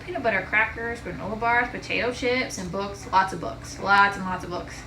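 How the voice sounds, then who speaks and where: A woman's voice: medium pitch, moderate pace, sharp tone, reverberant, slight background noise, natural voice, poor audio. A woman voicing a bossy, eager, bookish young schoolgirl in a storybook. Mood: confiding, eager.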